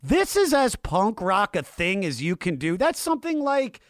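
A man's voice: sits high, sing-song, normal speed, no room sound, studio quality. A man hosting a casual music podcast, talking informally and with enthusiasm. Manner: informal, enthusiastic, uses slang and swearing. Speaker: podcast host.

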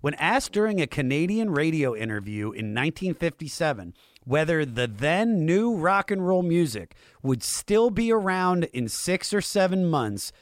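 A man's voice: on the high side, dry recording, studio quality. An American man hosting a casual music talk show, enthusiastic and emphatic. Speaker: podcast host.

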